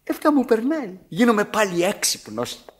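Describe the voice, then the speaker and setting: An actor playing a put-upon, mocking manservant in a theatrical radio drama, sardonic and exclamatory. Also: male voice, sits high, big pitch swings. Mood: muttering.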